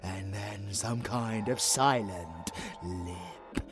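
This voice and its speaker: A man voicing a gleefully sadistic, mocking monster in an audio roleplay, theatrical and taunting, lurching between hush and outburst. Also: fairly low pitch, extremely slow, over music.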